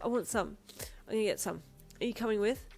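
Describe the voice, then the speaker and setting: A woman's voice: medium pitch, quick pace, creaky, darkish tone, soft background music. A woman voicing a young pregnant woman who snaps and sobs in emotional outbursts. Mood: stubborn. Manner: sobbing, snappish outbursts.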